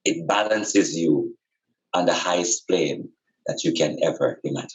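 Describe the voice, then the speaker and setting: A man's voice: sits low, moderate pace, low-quality recording. A man speaking as a spiritual teacher in conversation, earnest and emphatic, repeating phrases for effect.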